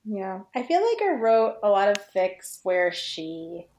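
A woman in casual conversation, recorded with a clearly roomy echo. She talks in halting, informal bursts, restarting phrases and leaning heavily on "like". Her pitch sits in the middle for a woman. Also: big pitch swings, darkish tone, a little hiss or hum. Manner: halting, informal, heavy use of "like". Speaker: podcast guest, fan fiction writer. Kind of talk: casual chat about fan fiction. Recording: roomy, echoey sound.

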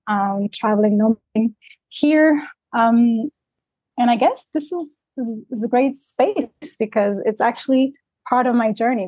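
Reflective woman coach, higher voice. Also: dark tone, no echo, rough recording. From Dominican Republic, raised in Canada. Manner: reflective, searching, with many fillers. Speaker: guest, life coach. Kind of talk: interview conversation. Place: remote, traveling in Mexico.

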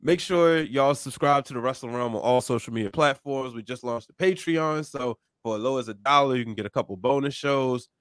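A man's voice: mid-range voice, brisk, hardly any pauses, clean tone, no echo. Easygoing male host, dry close sound. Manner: easygoing, laughs often. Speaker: podcast host. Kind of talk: casual chat.

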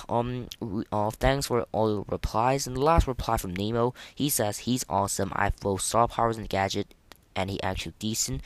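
A man with a fairly low voice hosts a casual gaming podcast. He talks in a halting, informal way, circling back and repeating words before moving on. The sound is close and dry, with little room in it. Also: no echo. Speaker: podcast host.

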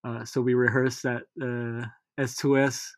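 A man in his thirties, a guest musician whose first language is Tagalog, talking in a relaxed, hesitant way with many pauses. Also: mid-range voice. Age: 30s. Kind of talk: casual interview chat.

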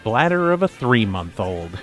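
A man's voice: lowish voice, sing-song, unhurried, fairly bright tone, faint music behind. A man playing a long-suffering, sarcastic podcast host in a comedy audio drama, speaking drily. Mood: exasperated, sarcastic. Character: exasperated, sarcastic male podcast host herding his friends.

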